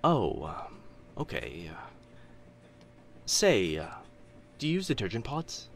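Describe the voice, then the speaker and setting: A young man playing a teasing, kind-hearted telepath in a boyfriend ASMR roleplay, with hesitant, chatty talk and soft asides. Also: on the low side, big pitch swings, takes it slowly, heavily acted. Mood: friendly, awkward. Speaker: voice actor in audio roleplay.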